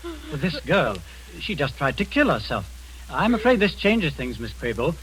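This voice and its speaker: Actor as a calm clinical doctor figure, measured authority, lively pitch, vintage radio drama, faint hiss. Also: male voice, rough recording. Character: composed middle-aged man posing as a doctor. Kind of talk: 1940s radio acting.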